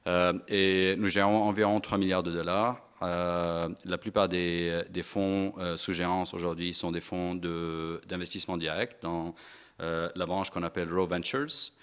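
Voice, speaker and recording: A middle-aged man giving a speech to an audience in an event hall, halting with many fillers and restarts. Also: sits low, flat delivery, a bit bright, clear, resonant tone. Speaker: investment firm partner giving a speech. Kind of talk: conference speech.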